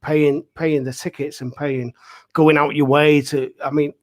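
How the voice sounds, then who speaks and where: A man's voice: on the high side, level tone, a little slow. A man of forty-five speaking casually as a panel guest, earnest and emphatic, often repeating himself for stress. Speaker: pantomime dame and amateur theatre director.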